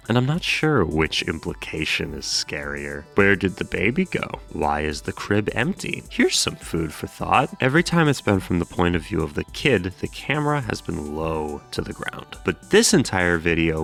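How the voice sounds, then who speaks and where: A man's voice: low voice, vocal fry, a bit bright. A man hosting a horror explainer, mixing scripted narration with casual, wry commentary and jokey, sweary asides. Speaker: host of horror series explainer videos.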